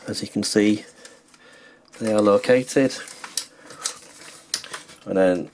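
A man's voice: fairly low pitch, slow, a little mellow, a little hiss or hum. A man narrating a hands-on how-to as a tutorial host, explaining plainly step by step.

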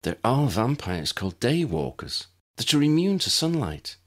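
A man's voice: medium pitch, very animated, normal speed, voice pushed up, lightly acted. A man voicing a breezy, worldly teenage girl at a wizard school in a storybook, dreamy and knowing. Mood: dreamy, gossipy.

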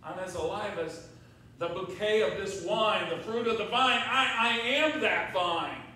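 An older American man preaching a sermon as a pastor, conversational, with repeated phrases and emphatic pauses. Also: sits high, sharp tone. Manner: conversational, rhetorical questions with check-ins to congregation. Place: large church sanctuary, at pulpit. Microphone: far camera in the room. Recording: very echoey church, distant mic, faint noise.

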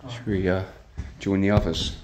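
A man, chatting casually while exploring a dark abandoned house. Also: low-pitched, steady tone, normal speed, a little hiss or hum. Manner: chatty, easily impressed, matey. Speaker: urban explorer host.

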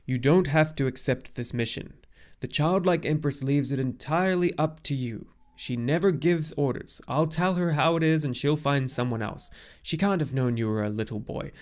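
A man's voice: on the high side, moderate pace, natural voice. A man voicing a very old, grave centaur in an audiobook story, with an emphatic, exclamatory manner. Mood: calmer, grave. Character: very old agitated centaur messenger, grave and weary.

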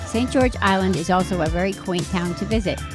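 A woman's voice: medium pitch, fairly quick, over music. Woman narrating a travel piece.

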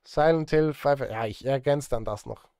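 A man's voice: medium pitch, moderate pace, vocal fry, mellow, clear, resonant tone, no echo, clean studio sound. A man in his thirties chatting casually on a live stream while he works, rambling and muttering to himself in a self-deprecating way. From Switzerland. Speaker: live streamer and film composer. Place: indoor desk at a streaming setup.

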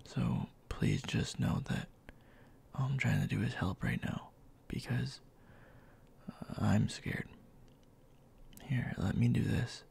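A man acts out a young boyfriend in an intimate ASMR roleplay, scolding and tender at once. His low voice is very husky and breathy, often slipping into a whisper. He talks slowly and haltingly, like someone bossy who cares a great deal. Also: wildly swooping pitch, a little mellow, exaggerated voice. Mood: tender, earnest. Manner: halting, murmured, scolding yet caring. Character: gruff but tender, bossy young boyfriend nursing sick partner.

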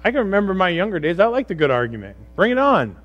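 A man's voice: high-pitched, quick pace, muffled recording. A middle-aged man preaching as a pastor, conversational and exhorting, putting rhetorical questions to his congregation. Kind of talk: sermon to a congregation. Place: church room, speaking from a stage. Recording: steady mains hum and noise.

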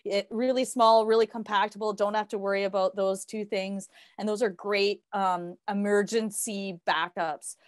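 A woman's voice: medium pitch, fairly even intonation, moderate pace, hardly any pauses, no echo, studio quality. A woman co-hosting a podcast as a health coach, speaking in casual conversation.

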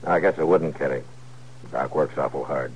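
An American actor playing a calm, laconic frontier marshal in a 1950s radio Western. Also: male voice, a bass voice, normal speed. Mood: easygoing. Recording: muffled, faintly noisy old radio recording.

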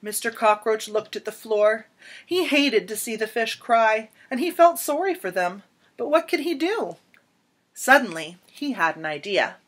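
A woman in her thirties reading a children's picture book aloud in an expressive, dramatic storytelling manner with emphatic pauses. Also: on the high side. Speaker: storybook reader. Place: small indoor room at home.

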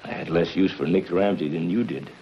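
A man's voice: sits low, fairly quick, lightly acted. An actor playing a tough, practical henchman with clipped, hard-boiled talk in a 1950s television crime drama. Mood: businesslike, clipped. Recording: muffled, hissy 1950s TV soundtrack.

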